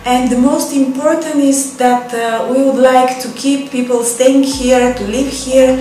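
Bulgarian-accented woman, hesitant, higher pitch, super echoey room, far mic. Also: steady tone, unhurried, mellow. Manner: hesitant, many fillers. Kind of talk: testimonial to camera. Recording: very echoey room, distant mic, faint music.

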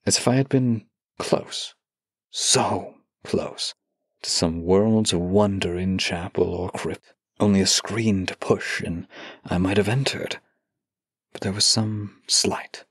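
A man acting a devoted romantic partner in an intimate audio drama, with a gravelly, husky voice, speaking heartfelt words close to the mic. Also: low voice, takes it slowly, dry recording, exaggerated voice. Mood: ardent, earnest. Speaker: voice actor reading love letters. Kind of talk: intimate audio drama, slow heartfelt letter reading and banter.

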